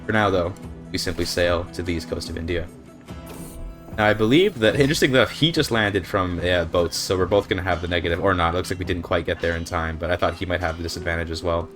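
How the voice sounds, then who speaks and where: A man's voice: deep voice, fast, fairly bright tone, soft background music. A young man with a slightly scratchy throat, casually explaining his strategy while playing a game, thinking aloud with fillers. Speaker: speedrunner commentating gameplay. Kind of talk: casual gameplay commentary. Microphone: desk microphone.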